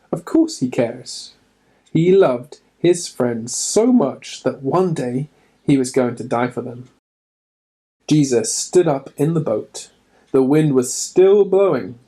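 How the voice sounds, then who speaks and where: A man's voice: medium pitch, warm tone. A man reading a children's Bible storybook aloud for a church, telling it expressively with dramatic emphasis.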